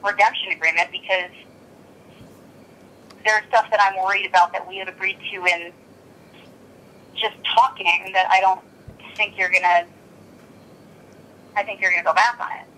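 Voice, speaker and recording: A woman's voice: mid-range voice, steady tone, moderate pace, pauses often, bright, forceful tone. A blunt, exasperated woman arguing on a recorded telephone call. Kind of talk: tense phone argument.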